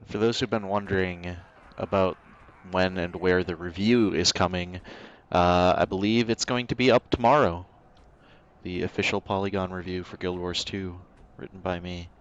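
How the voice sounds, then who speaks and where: A man's voice: low-pitched, steady tone, moderate pace, dull audio. A man giving casual, offhand gameplay commentary as the host of a livestream. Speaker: livestream host, game reviewer. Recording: game music behind the voice. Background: video game music.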